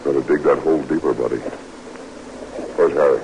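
A gruff airborne officer with a very deep, creaky, gravelly voice. He is terse and commanding, in the manner of classic radio drama. The old broadcast is heavily noisy, with a droning engine. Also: steady tone, fairly quick. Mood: stern. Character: gruff male airborne officer narrating a night parachute jump. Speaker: narrator and officer. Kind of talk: 1940s-50s radio drama acting.

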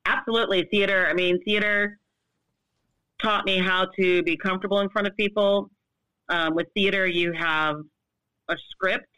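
A woman in her fifties, a longtime bartender and brand ambassador, answering interview questions in a loud, well-projected, animated voice. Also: medium pitch, moderate pace, no echo, rough recording. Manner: animated, laughs often.

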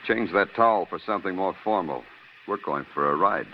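A man's voice: sits low, brisk, natural voice. An American man acting a hard-boiled, sardonic police lieutenant in an old radio crime drama, terse and dry in manner. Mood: dry, needling. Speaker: lead actor and narrator. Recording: muffled, noisy old radio broadcast recording.

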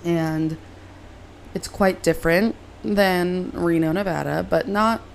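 Young female podcast host, hoarse and raspy from a cold, gravelly and chatty, over faint background noise. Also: fairly low pitch, takes it slowly. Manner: rambling and self-deprecating. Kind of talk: casual solo podcast chat. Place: moved from Reno to LA, recording at home.

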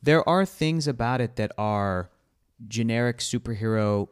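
Male podcast host, 30s, mid-pitched, animated, argumentative, keeps steering the chat. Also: a little slow, clear, resonant tone. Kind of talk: casual chat.

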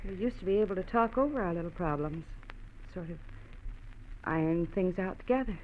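An actress playing a gentle, worried and devoted wife in an old-time radio drama. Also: female voice, on the low side, fairly quick, slight background noise, natural voice. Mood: anxious, tender. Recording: thin phone-like old radio recording.

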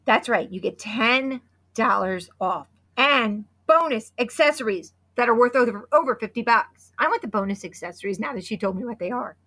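A woman in her fifties co-hosting a podcast, chatty and excitable, hoarse from losing her voice. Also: a little high, moderate pace. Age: 50s. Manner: chatty and excitable, with many restarts. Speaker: podcast co-host. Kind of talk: casual podcast chat with sponsor read.

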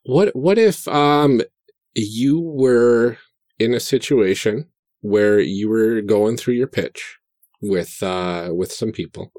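Male podcast player, unhurried and halting, slightly deep, casual roleplaying-game talk. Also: a little mellow, dry recording, studio quality. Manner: hesitant, pausing between phrases. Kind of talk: casual chat during tabletop game character creation.

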